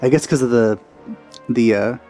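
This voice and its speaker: Mellow-voiced man giving casual game commentary. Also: medium pitch, normal speed. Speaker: video game streamer. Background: faint game music.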